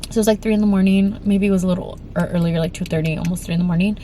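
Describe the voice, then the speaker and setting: A woman's voice: fairly low pitch, fairly even intonation, very fast, mellow, a little hiss or hum. Congested young woman telling a frightening story. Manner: rambling with many fillers and restarts. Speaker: vlogger. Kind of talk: emotional storytime vlog.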